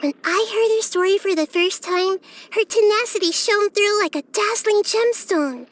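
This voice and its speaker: A small child-like goddess from a fantasy video game, her voice high and girlish. She speaks gently and thoughtfully, like someone far wiser than she sounds. Her phrasing is measured and earnest, with a steady curiosity about people and the world. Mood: tender, hopeful. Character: small wise young girl deity, gentle and curious. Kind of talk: scripted video game character voice lines.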